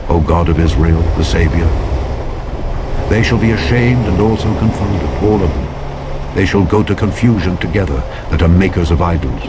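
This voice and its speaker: A man narrating scripture from a script in a solemn, declamatory, measured way, phrase by phrase. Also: deep voice. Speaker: audiobook narrator. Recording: loud vehicle noise over voice. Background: loud truck driving sounds from a video game.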